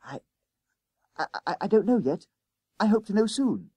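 A man voicing an anxious, earnest, excitable schoolboy who stammers and pleads in an audiobook story. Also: high-pitched, normal speed, slightly rough, no room sound, pitched above the performer's own voice, exaggerated voice. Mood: flustered, desperate.

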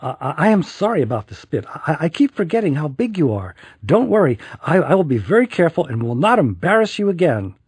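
A man's voice: on the high side, sing-song, a little fast, few pauses, a little mellow, husky, voice pushed up, full character voice. A man voicing a fussy, apologetic mother in a storybook, over-eager and prone to stammering. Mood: flustered apology.

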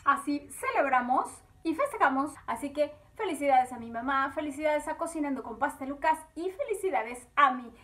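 High-voiced woman hosting a home cooking show. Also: animated, normal speed, hardly any pauses, a bit bright. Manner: chatty, talks viewers through each step. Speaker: cooking channel host. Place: home kitchen.

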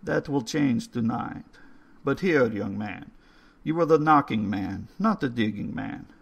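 A man voicing an old undertaker who speaks in a formal, slow and ominous way. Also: medium pitch, animated, vocal fry, husky, full character voice. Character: old Hungarian male undertaker, courtly and eerie.

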